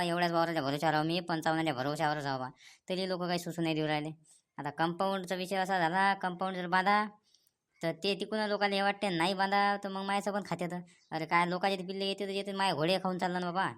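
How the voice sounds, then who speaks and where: A woman's voice: on the low side, clear, resonant tone, close to an everyday voice. A woman voicing an exasperated, grumbling village farmer with a rural Vidarbha Marathi accent in a cartoon comedy. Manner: grumbling, repetitive, colloquial. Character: exasperated male village farmer, grumbling about stray cattle.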